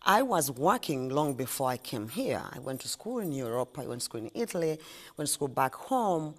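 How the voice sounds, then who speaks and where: Middle-aged woman, Ugandan accent, low-pitched, highly animated intonation, chatty interview guest, repeats herself for emphasis. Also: normal speed. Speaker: interview guest, ice cream shop owner. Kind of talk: casual interview answers.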